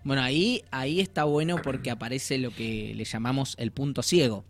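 A man's voice: mid-range voice, normal speed, a little hiss or hum. A man with an Argentine accent talking as a coach, explaining things conversationally with informal slang and imagined quotes. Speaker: life coach, guest.

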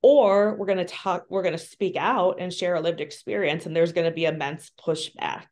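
A woman with a low voice gives an earnest, candid interview. She talks frankly about hard subjects, often pausing with "um" and restarting her sentences. She sounds like she is on a remote call. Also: a little mellow. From Colombia. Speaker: interview guest, social worker.

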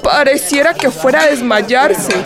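An actress playing a meek, solicitous servant and nanny in an audio drama, deferential and fussing. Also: female voice, high-pitched, unhurried. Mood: worried. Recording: clear music bed behind.

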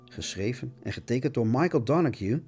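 A man reads a scripted radio essay as a theatrical narrator. His pitch is very animated and his delivery is declamatory and mock-dramatic. Music plays behind him. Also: brisk, breathy edge.

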